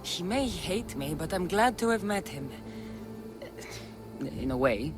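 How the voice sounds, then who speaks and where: A blunt, defiant mercenary, music behind. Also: female voice, fairly low pitch, lively intonation, slow pace. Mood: reproachful, guarded. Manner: blunt, dry, self-assured. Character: hardened, defiant young female mercenary of ancient Greece. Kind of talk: cinematic video game dialogue. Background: game soundtrack music.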